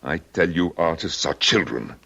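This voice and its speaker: An actor playing a courtly, blustering ballet impresario in an old-time radio drama. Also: male voice, very deep voice, moderate pace, gravelly, sharp tone, husky, exaggerated voice. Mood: exasperated. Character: suave, excitable male ballet impresario, courtly and dismissive. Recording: dull lo-fi radio drama recording.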